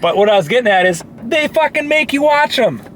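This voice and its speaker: A man reviewing movies in casual, profanity-laced banter, talking in an animated way. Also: extremely high pitch, fairly quick. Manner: animated, sweary. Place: inside a car. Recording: noisy, vehicle ambience.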